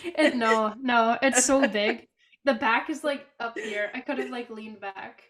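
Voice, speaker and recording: Young woman, congested with a cold, slightly high voice, chatty and upbeat, video call from a bedroom. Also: measured pace, a bit bright. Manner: chatty, upbeat, many fillers like "like". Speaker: podcast co-host. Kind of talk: casual chat over video call. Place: bedroom at home.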